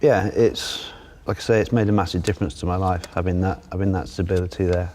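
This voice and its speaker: Low-voiced middle-aged British man. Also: steady tone, quick pace. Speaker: housing tenant being interviewed. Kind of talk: casual reflective interview talk.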